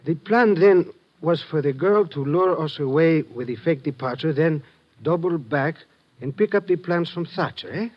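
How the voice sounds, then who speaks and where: Earnest, formal policeman, played by a male actor, slightly high male pitch, precise speech, dull old-time radio recording. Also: normal speed, natural voice. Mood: sober, questioning. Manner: formal, precise, uncontracted phrasing. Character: earnest, puzzled foreign male police officer. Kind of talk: 1950s radio drama acting.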